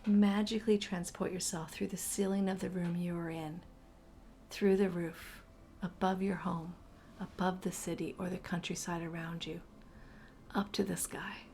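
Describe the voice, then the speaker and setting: A woman narrating a guided meditation slowly and soothingly, her breathy voice often dropping toward a whisper. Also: lowish voice, flat delivery, mellow, slight background noise.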